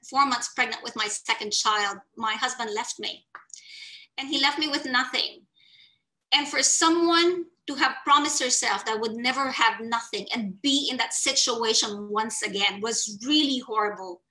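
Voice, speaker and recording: A woman's voice: fairly high pitch, rough recording. A woman in her forties from the Philippines, speaking earnestly about her life at an online summit, recorded on a webcam in a small room. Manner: earnest, expressive, gestures with her hands. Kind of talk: personal story talk. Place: small indoor room against a plain wall.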